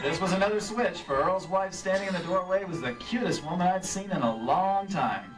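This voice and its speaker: A man acts out a freelance insurance investigator in old-time radio style, wry and weary. His voice sits a little high. It is picked up from afar on a live stage, with music clearly behind it. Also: a little fast, distant mic, lightly acted, low-quality recording. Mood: wry, brisk. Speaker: lead actor in a radio play re-creation. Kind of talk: 1950s radio detective acting and narration.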